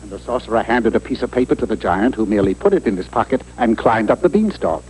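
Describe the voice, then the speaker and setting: A man's voice: on the low side, lively intonation, fast talker, a little mellow, close to an everyday voice. A man playing a wry, urbane storybook narrator, delivering comic scripted narration in a mock-serious manner. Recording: faintly noisy old TV broadcast tape.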